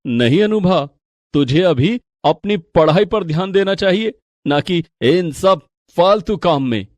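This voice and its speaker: A concerned middle-aged father, acted by a man, earnest and admonishing. His voice is slightly high for a man, in a dry storybook recording. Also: animated, unhurried, no room sound. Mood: stern.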